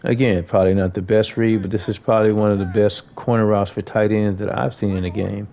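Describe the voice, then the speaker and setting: A man's voice: fairly low pitch, level tone, brisk, mellow. An American man giving a casual, conversational gameplay tutorial, talking informally to viewers as a host.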